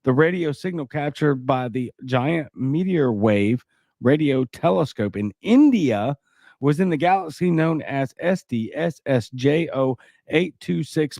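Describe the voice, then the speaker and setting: A man's voice: fairly high pitch, vocal fry, a little mellow, no echo, clean studio sound. A man with a Cajun accent hosting a casual livestream, reading news and viewer comments with skeptical, rambling asides. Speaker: livestream host.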